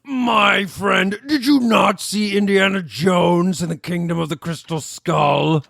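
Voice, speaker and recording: A man's voice: high-pitched, slow, creaky, a bit bright, dry recording, clean studio sound, full character voice. An actor playing a pompous, delusional man obsessed with the sea, theatrical and grandiose. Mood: bombastic. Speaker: patient in therapy. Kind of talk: comedic audio drama acting.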